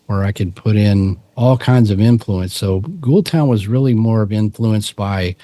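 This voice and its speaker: Low, mellow-voiced man telling stories. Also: a little slow, no echo. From Texas. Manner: relaxed, rambling, frequent restarts and fillers. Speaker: podcast guest, musician and cryptid researcher. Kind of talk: casual interview storytelling.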